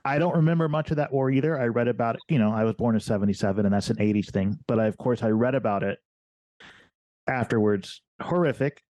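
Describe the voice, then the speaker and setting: Male podcast host in his forties from Ohio, warm low timbre, dry close mic, relaxed conversational delivery. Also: quick pace, dry recording, highs cut off. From Ohio, USA. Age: 40s. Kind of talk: casual interview.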